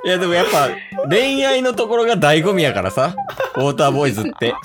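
A man's voice: on the high side, lively intonation, quick pace. A man with a Kansai accent bantering casually as host of an amateur radio drama.

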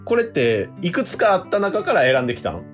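Guy playing a shy awkward kansai young man on a festival date, super animated, comedy radio drama, soft music behind. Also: a little high, fast, voice pushed up. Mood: casual, eager. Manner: awkward, easily flustered, stammering.

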